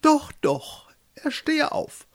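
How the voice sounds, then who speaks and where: A man's voice: high voice, lively intonation, measured pace, pitched above the performer's own voice. A man voicing a haughty, restless fisherman's wife turned pope in a storybook, speaking in a stately, condescending way.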